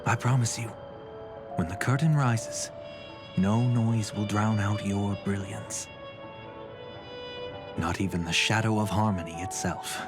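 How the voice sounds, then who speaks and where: A man voicing a composed, protective older brother in a game, with a deliberate, reassuring manner. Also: lowish voice. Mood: firm, tender. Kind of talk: game voice acting, dramatic dialogue. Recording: background music under the voice.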